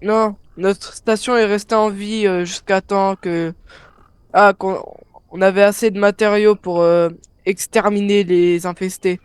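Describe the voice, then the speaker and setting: A man's voice: high voice, steady tone, measured pace, smooth, no room sound. A man improvising hesitantly in a game roleplay as a police squad survivor talking over the radio. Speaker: player in a zombie survival roleplay.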